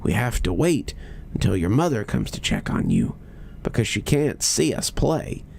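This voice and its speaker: A man's voice: on the low side, huge pitch swings, normal speed, vocal fry, darkish tone, husky, exaggerated voice. A man voicing a small, big-mouthed creature in a horror story, a secretive, coaxing, childlike playmate. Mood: eager, conspiratorial.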